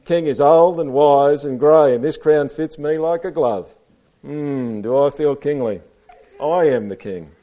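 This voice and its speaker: A man's voice: a little high, measured pace, highs cut off, voice pushed up, lightly acted. A man voicing a self-important, bossy old elephant who claims to be wise and kingly in a storybook.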